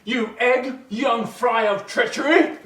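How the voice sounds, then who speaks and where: A man's voice: extremely high pitch, slow, fairly bright tone, heavily acted. A man playing a playful, hammy actor who banters in mock-Shakespearean style in a radio play. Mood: bantering. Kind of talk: comic radio play acting. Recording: echoey, distant, slightly noisy room.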